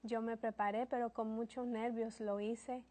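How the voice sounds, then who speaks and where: A woman, telling her testimony as a guest in an earnest, steady way. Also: fairly high pitch, moderate pace. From Colombia.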